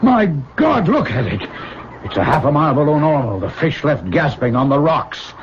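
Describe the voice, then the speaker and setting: An actor playing a proud, stubborn, weary older man in an old-time radio drama, with halting, weighty delivery. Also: a little high, sing-song. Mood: urgent alarm. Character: proud, stubborn, ailing old father, weary former lover. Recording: muffled old radio recording, clear noise.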